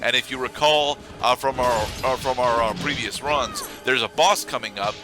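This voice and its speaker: A man giving casual video game commentary, explaining things in a halting, rambling way. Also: medium pitch. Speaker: let's play commentator. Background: video game music.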